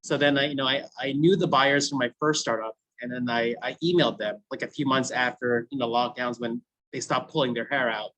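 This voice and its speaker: A man's voice: mid-range voice, fairly even intonation, fairly quick, a bit bright, poor audio. A man in his thirties, a food brand founder, telling his story to a class in a chatty way with frequent laughs and fillers. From United States. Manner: chatty, laughs often, many fillers like "you know". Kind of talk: casual storytelling talk.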